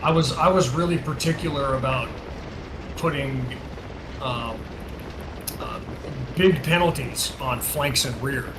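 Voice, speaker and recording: Man, medium pitch, casual gamer chat, reacts aloud, some hesitations, noisy game audio. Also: measured pace, long pauses. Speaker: guest and mod creator. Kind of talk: casual multiplayer gameplay chat.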